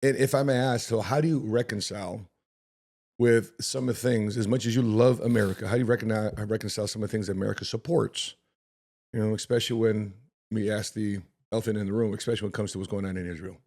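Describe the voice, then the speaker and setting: A man's voice: fairly low pitch, fairly even intonation, fast, a little mellow. A man who grew up in Chicago, hosting an interview, asking questions with many restarts and frequent laughs. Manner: stammers on restarts, laughs often. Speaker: podcast host. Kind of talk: casual interview.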